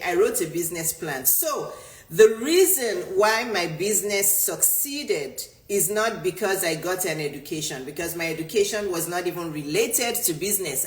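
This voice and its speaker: A middle-aged woman from Nigeria, a native Yoruba speaker, coaching with insistent emphasis and repeating herself to drive points home. Her voice sits at a middle pitch for a woman. The room adds a noticeable echo around her. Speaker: business coach. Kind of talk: motivational talk answering a viewer. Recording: roomy echo.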